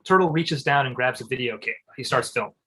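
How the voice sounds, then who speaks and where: A man's voice: mid-range voice, fast talker, sharp tone, breathy edge, poor audio. A man reading screen directions and comic narration at a live table read.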